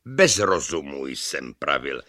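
Muž hraje umanutého staršího pána, ostrý hlas. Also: male voice, extremely low pitch, big pitch swings, pushed and bright, dry recording, studio quality, voice pushed down, exaggerated voice. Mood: stubborn, dry. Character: stubborn older bald man called Reason, sober, officious.

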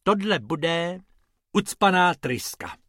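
A man voicing a cheeky young male rabbit in a children's story, talking high, loud and excited. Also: no room sound, clean studio sound, exaggerated voice. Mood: eager.

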